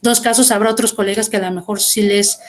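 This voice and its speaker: A woman's voice: medium pitch, steady tone, quick pace, heavy background noise. A Mexican woman, a guest translator, talking through her experience with thoughtful explanations and small restarts over a video call. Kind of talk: conversational class interview.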